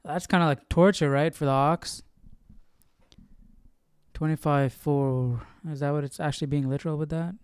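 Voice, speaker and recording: A man's voice: a little high, steady tone, normal speed, long pauses, clear, resonant tone, no echo. A man hosting a Bible study stream, casually reading verses and musing aloud with questions about them.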